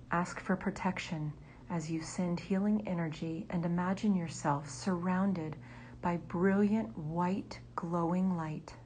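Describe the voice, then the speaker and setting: A woman leading a guided meditation in a soft, breathy near-whisper. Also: lowish voice, slight background noise.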